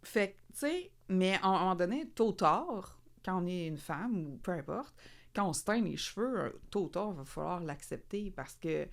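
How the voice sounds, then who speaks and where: A woman in her late thirties with a Québécois accent, chatting casually as a podcast co-host, animated and colloquial, laughing easily. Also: on the low side, moderate pace, creaky. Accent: Québécois French. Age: 30s. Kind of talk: casual chat.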